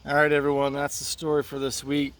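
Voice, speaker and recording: A man's voice: fairly high pitch, completely flat delivery, fairly quick, recorded from a distance. A man speaking as a teacher, reading a children's play aloud to his students. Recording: noticeable background noise.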